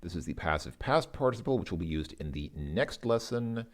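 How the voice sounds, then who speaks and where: Very deep-voiced man, halting, thinking aloud. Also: expressive. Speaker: language learner presenting a Russian lesson. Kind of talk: informal lesson, translating and thinking aloud.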